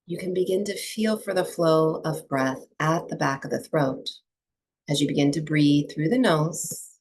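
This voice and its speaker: A woman teaching breathwork and meditation to a small group, speaking softly and soothingly with long pauses between phrases. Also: low voice, low-quality recording. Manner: soft, unhurried, soothing delivery with long pauses.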